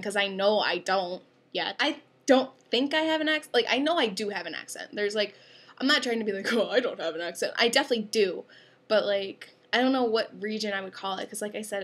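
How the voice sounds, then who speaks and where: Young woman, upstate New York accent, bright tone, chatty and rambling vlogger read. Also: mid-range voice. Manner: chatty, rambling with many fillers. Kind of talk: casual accent tag video.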